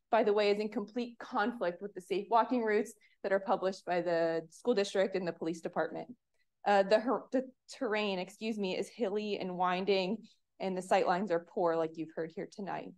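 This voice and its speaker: A woman in her thirties from Pennsylvania, giving an earnest, measured public comment at a municipal commission meeting on a podium microphone. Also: medium pitch. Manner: earnest, measured, reads clearly.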